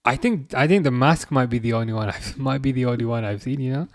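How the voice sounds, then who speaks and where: A man's voice: mid-range voice, quick pace, no room sound, studio quality. Laid-back man reading out stream chat. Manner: relaxed, hesitant, many fillers and restarts; relaxing voice. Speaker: livestream host reading chat. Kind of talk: casual live-chat watch-along talk.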